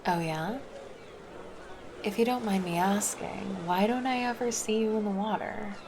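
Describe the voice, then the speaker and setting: A woman's voice: mid-range voice, a little slow, background noise, lightly acted. A woman voicing a laid-back, flirty female lifeguard in an ASMR roleplay, chatting casually and teasing. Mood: easygoing.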